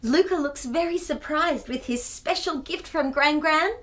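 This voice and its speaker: A woman reading a story aloud to young children in an expressive, exclaiming manner, often putting questions to her listeners. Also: high-pitched, unhurried.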